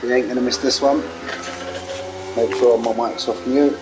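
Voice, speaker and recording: A young man with a hoarse, fading voice, calling an online sim race live with quick, excitable play-by-play and chatty asides. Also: mid-range voice, music in the background. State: losing his voice, hoarse. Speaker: sim racing league commentator. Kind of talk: live race commentary streamed. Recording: noisy streamed audio.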